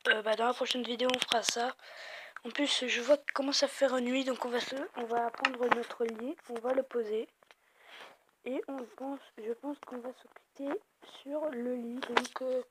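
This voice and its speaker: A woman's voice: a little high, steady tone, moderate pace. A woman with a southern French accent giving rambling, chatty commentary on her game, full of hesitations, recorded on a phone microphone. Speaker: let's play host. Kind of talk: casual gameplay commentary. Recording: loud noise on a glitchy phone mic.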